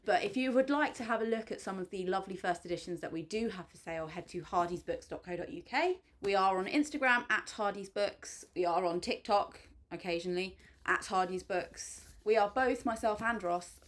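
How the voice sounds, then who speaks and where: A woman's voice: medium pitch, moderate pace. A woman hosting a book channel, giving a gushing, chatty spoken review with frequent ums and likes. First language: English.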